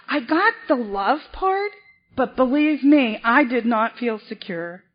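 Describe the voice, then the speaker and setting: Woman, convention speaker, high voice, restarts often, muffled hall recording. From South Boston, Massachusetts. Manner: self-deprecating, halting, frequent restarts. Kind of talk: personal recovery story at a podium.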